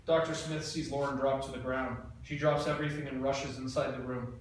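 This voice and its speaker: A man reading a screenplay's stage directions aloud in a plain, even way. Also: mid-range voice, fairly quick, distant mic. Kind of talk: reading screenplay action lines. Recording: echoey room, mic from afar, faint noise.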